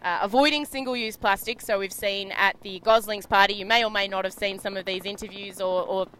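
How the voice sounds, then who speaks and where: Aussie woman on a media boat doing race commentary, loud and bubbly, clear smooth voice. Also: medium pitch, fairly even intonation, brisk, poor audio. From Australia. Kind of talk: live sailing commentary from a boat.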